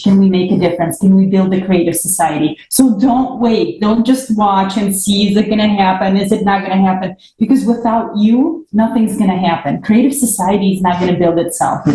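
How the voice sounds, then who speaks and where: A woman's voice: medium pitch, warm tone. A woman speaking as a panelist, making an earnest, urging appeal straight to viewers. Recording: roomy sound with faint noise.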